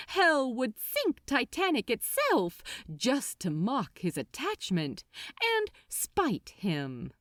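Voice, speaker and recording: A woman reading a story aloud as a dramatic, expressive and deliberate narrator. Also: fairly high pitch, no room sound, studio quality. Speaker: audiobook narrator.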